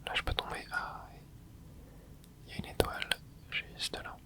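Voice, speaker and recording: A gaming creator whispers an ASMR let's play. The voice is breathy and unvoiced throughout. The commentary is soft and casual, moving along in short remarks and little interjections. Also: moderate pace.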